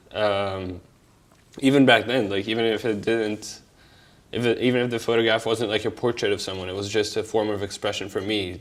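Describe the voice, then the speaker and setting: A young man from Egypt telling his life story in a casual, halting way, with many fillers and restarts and offhand, candid swearing. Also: lowish voice.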